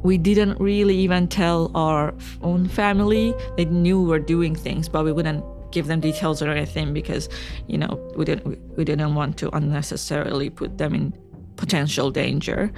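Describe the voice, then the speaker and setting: A young woman from Iran telling her own story in a relaxed, chatty way, with fillers and small laughs. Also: deep voice, moderate pace, music in the background. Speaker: interviewee. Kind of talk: personal storytelling interview.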